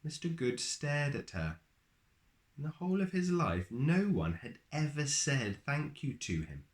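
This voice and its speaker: A man reading a children's picture book aloud in an expressive storyteller's manner, with dramatic pauses and drawn-out words. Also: a little high.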